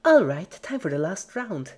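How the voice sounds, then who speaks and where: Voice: mid-range voice, sing-song, normal speed, pitched above the performer's own voice. A performer voicing a giggly, relentlessly cheerful yet sadistic female cartoon pony in a story reading, chirpy and playful while menacing. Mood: cheerful menace.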